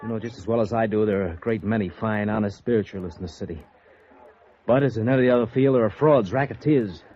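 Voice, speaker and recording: Man acting fast, intense radio-noir gangster's son. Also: lowish voice, dark tone, natural voice. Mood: earnest, concerned. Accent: American. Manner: emphatic, earnest, quick to flare up. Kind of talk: mid-century American radio drama acting. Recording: vintage radio, dull sound, faint noise.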